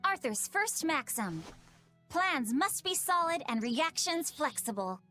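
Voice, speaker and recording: A teenage big sister in an anime-style fantasy game. She is brisk and motherly, sure of herself. Her voice is high and swings expressively. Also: sing-song, sharp tone, faint music behind, full character voice. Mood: confident.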